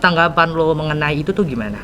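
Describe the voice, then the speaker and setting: A man's voice: a little high, lively intonation, fast, sharp tone, slight background noise. A teenage boy hosting a casual school podcast, chatty and full of slang and fillers. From Indonesia. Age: teens. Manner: slang-heavy, many fillers. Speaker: student podcast host.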